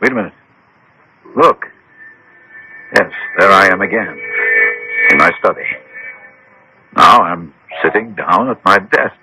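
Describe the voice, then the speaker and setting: An animated, headstrong, agitated gentleman. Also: medium pitch. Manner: insistent, dramatic, halting exclamations. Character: headstrong, agitated gentleman demanding to know his fate. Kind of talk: 1970s-80s radio drama acting. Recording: old radio broadcast, faint noise.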